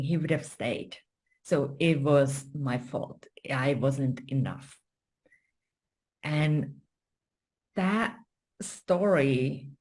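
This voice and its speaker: Female interview guest with a Finnish accent. Low register, very unhurried, measured delivery for personal storytelling. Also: a little mellow. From Finland. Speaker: guest, story coach and spiritual mentor.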